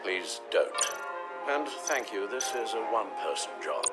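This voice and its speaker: An actor voicing an older British butler in a video game, courteous and caring, with a formal, dry manner. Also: male voice, lowish voice, very animated, unhurried, loud music behind the voice. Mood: dry, weary.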